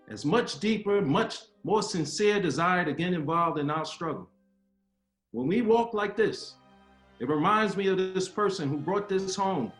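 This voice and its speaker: A man's voice: high voice, fairly bright tone. An actor playing an earnest baseball great, father and civil rights speaker in a dramatic table read, with oratorical delivery. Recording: roomy echo with music behind. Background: music.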